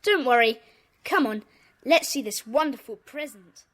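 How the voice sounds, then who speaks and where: A woman playing a brisk, sensible schoolboy club leader in a children's audio drama. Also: sits high, animated, fairly bright tone, no room sound, exaggerated voice. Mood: assured.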